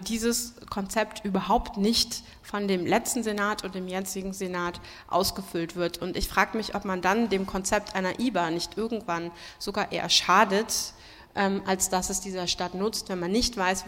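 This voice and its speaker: Woman, panel speaker from Berlin, emphatic, opinionated, medium pitch, long hall reverb. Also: fairly even intonation, moderate pace, fairly bright tone. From Berlin, Germany. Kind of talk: impassioned political panel discussion. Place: large hall at a public event.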